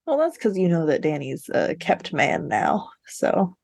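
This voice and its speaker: A woman's voice: lowish voice, wildly swooping pitch, unhurried, gravelly, a little mellow, slightly rough, no room sound, studio quality. A woman co-hosting a podcast in casual chat, with a wry, self-mocking, joking way of talking. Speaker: podcast co-host.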